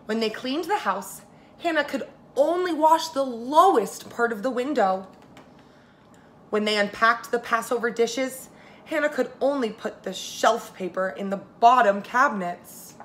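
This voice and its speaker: A woman preschool teacher reading a story aloud to young children, expressive and speaking to them directly. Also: on the high side, measured pace, slight background noise.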